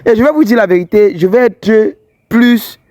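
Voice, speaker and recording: A man's voice: high voice, normal speed, a little mellow, no echo. A man with an English-speaking Cameroonian accent hosting a fidelity-test vlog, speaking in a blunt, scolding, teasing way. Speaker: fidelity tester vlogger host. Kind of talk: street vlog confrontation.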